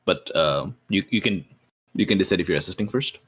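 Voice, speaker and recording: A man with a lowish, husky voice talks casually at a tabletop game. His tone is rough-edged and conversational as he joins in as a player. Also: animated, fairly quick, fairly bright tone.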